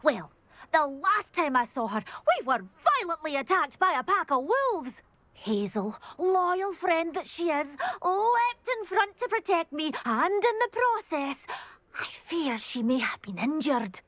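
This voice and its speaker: An anxious, soft-hearted woman in a video game, fretting over a creature she adores. Her voice is high and swoops expressively, with an unhurried pace. She is earnest and effusive. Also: very animated, dry recording, heavily acted. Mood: fretful, tender. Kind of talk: video game character dialogue.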